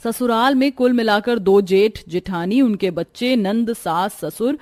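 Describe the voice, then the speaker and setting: Female cartoon story narrator, fairly high pitch, dry studio sound, light music bed. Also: measured pace, a little mellow, no echo.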